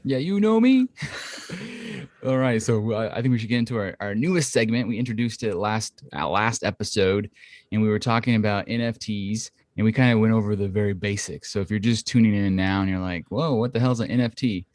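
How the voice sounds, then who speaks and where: A man chatting on a podcast, rambling through long explanations full of "um" and "like". His voice sits a little low and is recorded close and dry, with no room sound. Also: fairly quick, a little mellow, no room sound. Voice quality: thinks his voice is high-pitched. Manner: rambling, filler-heavy, explains at length. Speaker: podcast co-host. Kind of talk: casual podcast chat. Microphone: studio condenser mic at home.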